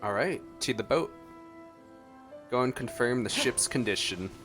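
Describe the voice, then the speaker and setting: A man's voice: medium pitch, lively intonation, measured pace, fairly bright tone. A man giving casual, musing gameplay reaction commentary in a laid-back way. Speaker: gameplay streamer. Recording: game music in the background. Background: game soundtrack.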